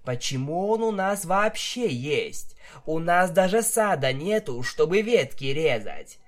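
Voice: medium pitch, sing-song, measured pace, voice pushed up. Vinyl, a reader putting on a brash vampire mare. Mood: exasperated. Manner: brash, threatening, shouting. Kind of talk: fan audiobook reading.

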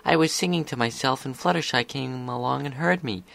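A man's voice: mid-range voice, brisk, creaky, fairly bright tone. A man voicing a cheerful young female unicorn in a story reading. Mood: happy.

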